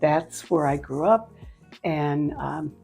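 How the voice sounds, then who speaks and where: An older woman from Cleveland, Ohio, answering interview questions and telling her life story in an unhurried, pausing way. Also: low voice, creaky, darkish tone. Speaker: interviewee, nonprofit leader. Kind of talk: casual interview conversation. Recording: faint background music.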